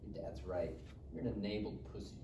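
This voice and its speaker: Cocky broke teen, played by a man, slangy and taunting, soft mellow tone, roomy distant recording. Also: lowish voice, brisk, natural voice. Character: cocky, foul-mouthed broke teenage boy, needling his buddy. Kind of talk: live table read of comedy screenplay. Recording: roomy, distant mic, faint noise.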